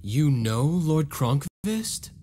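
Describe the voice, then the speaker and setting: An actor playing a noble young vampire hunter in a video game, speaking in an earnest, solemn and formal way. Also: male voice, a little high, sing-song, extremely slow, mellow, full character voice. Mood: puzzled. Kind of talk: video game cutscene voice acting.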